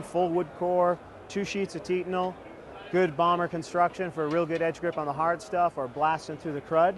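A man in his thirties presenting skis at a trade show booth, talking in a confident, fluent sales manner. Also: a little high. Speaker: ski company executive presenting products. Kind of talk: product demo presentation.

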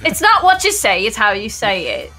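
A woman's voice: on the high side, sing-song, normal speed, pushed and bright. A woman in her thirties, a voice actor, joking casually on an improv show. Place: home room, video call. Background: music.

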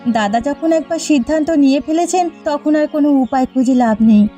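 A woman's voice: high-pitched, a little fast, dark tone, faint music behind, lightly acted. A woman playing a principled, reproachful village housewife in a cartoon, with a Bengali accent. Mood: resigned.

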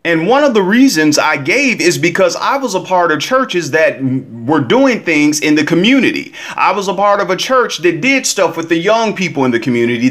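A man's voice: on the high side, fairly bright tone. Emphatic male vlog commentator. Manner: emphatic, preachy, talks straight to listeners. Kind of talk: casual opinion commentary.

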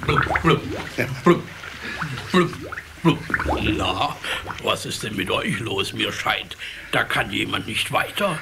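A kindly old gentleman carp for a children's radio play. He is hearty and obliging, bubbling between phrases with swooping intonation. Watery noise runs clearly behind him on an old cassette. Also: lowish voice, lively intonation, slow pace, pauses often, exaggerated voice. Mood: curious, helpful. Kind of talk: children's audio play acting.

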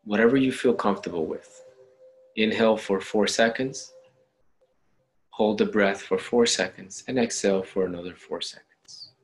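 A man leading a guided meditation, giving gentle, unhurried step-by-step instructions with pauses. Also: on the low side, rough recording.

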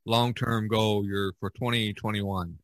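Guy hosting a chatty podcast, kinda low voice, halting and rambly, very dry sound. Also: steady tone, no room sound. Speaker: podcast host. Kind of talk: casual podcast chat.

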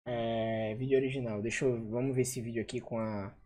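A man's voice: medium pitch, little pitch movement, a little fast, warm tone, a little hiss or hum. A young man in casual reaction chat as a streamer at his home setup.

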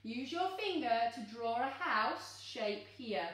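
A woman in her thirties reading a picture book aloud to children in an expressive, exclaiming, coaxing teacher's manner. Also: on the high side, slow pace. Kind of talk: animated children's storybook read-aloud. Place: living room, seated on a sofa. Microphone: camera a few metres away. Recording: echoey room, distant microphone.

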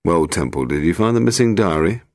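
A blunt British police inspector, performed by a male narrator speaks in clipped, inquisitive fashion. The voice is very low but animated, in a detective audiobook. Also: brisk, dry recording, studio quality.

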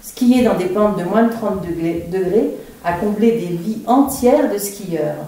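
A woman moderating a panel evening, reading a book passage aloud in a measured way. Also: mid-range voice, lively intonation, dark tone. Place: small room in a bookshop. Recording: very echoey, far from the mic.

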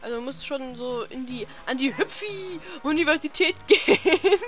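A young woman giving playful, casual game commentary in a silly, excitable manner. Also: high voice, unhurried. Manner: silly, excitable, breaking into exclamations and singsong. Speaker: let's play host.

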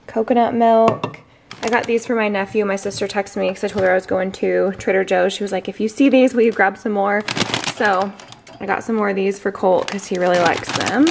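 A chatty woman in her thirties talks through her groceries at home, her voice slipping into a gravelly fry as she goes. The sound comes from a handheld vlog camera in a kitchen, with a faint hiss of background noise underneath. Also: mid-range voice. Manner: chatty, upbeat, lots of fillers. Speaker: vlogger. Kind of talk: casual grocery haul chat.

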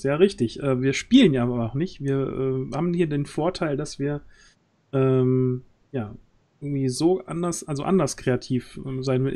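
A man in his thirties hosting a casual livestream, speaking haltingly as he searches for words into a close microphone. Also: mid-range voice, mellow. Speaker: stream host. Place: indoor home streaming setup. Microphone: close studio microphone on arm.

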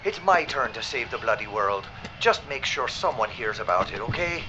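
A man's voice: mid-range voice, expressive, moderate pace, lo-fi sound, background noise, close to an everyday voice. An actor playing a reckless, self-sacrificing man at a plane's controls, theatrical and determined, in radio horror drama. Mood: resolute.

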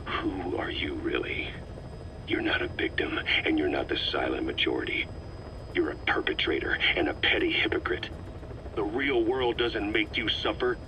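Very low, menacing male interrogator played by an actor. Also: close to an everyday voice. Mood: cold contempt. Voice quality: hushed, often dropping to a breathy near-whisper. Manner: deliberate, accusatory, mocking. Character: cold, sardonic, menacing male military interrogator. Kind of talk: dramatic video game voice acting.

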